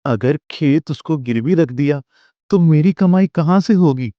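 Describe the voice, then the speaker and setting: A man's voice: a little high, measured pace, no echo, dull audio, close to an everyday voice. A man voicing a worried, humble village farmer in a Hindi moral-story cartoon, earnest and pleading. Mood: troubled.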